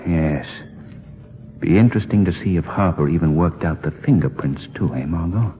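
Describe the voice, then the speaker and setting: Gentleman detective played by a man, low voice, assured and matter-of-fact, muffled old radio drama. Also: moderate pace, natural voice. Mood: composed. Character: composed, shrewd gentleman sleuth. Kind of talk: 1940s radio acting. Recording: muffled, noisy 1940s radio recording.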